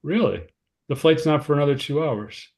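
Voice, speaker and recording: A man's voice: fairly high pitch, moderate pace, vocal fry, darkish tone. A man acting the part of a harried, considerate cousin, earnest and slightly fretful, in a screenplay read-through. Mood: curious, concerned. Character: David, harried, anxious, considerate male cousin. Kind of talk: informal screenplay table read, naturalistic dialogue.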